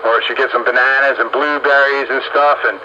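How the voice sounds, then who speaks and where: Folksy middle-aged or older man, mid-pitched, casual radio chatter, dull band-limited sound with clear static. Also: steady tone, brisk, sharp tone. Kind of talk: casual chat. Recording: muffled, noisy two-way radio transmission.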